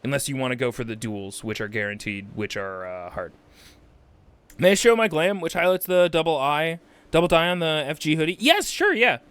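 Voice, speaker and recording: A man's voice: a little high, big pitch swings, moderate pace, a bit bright, clear, resonant tone. A man hosting a game livestream, chatting casually and exclaiming often, with sweary asides. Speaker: livestream host.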